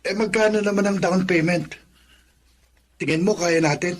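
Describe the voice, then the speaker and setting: An actor playing a practical, caring young Filipino son and brother in a radio drama. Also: male voice, high voice, moderate pace. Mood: practical, hopeful.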